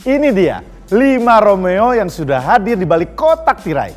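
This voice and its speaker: Playful male dating-show host, high voice, reverberant studio with music. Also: very animated, moderate pace. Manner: playful, teasing.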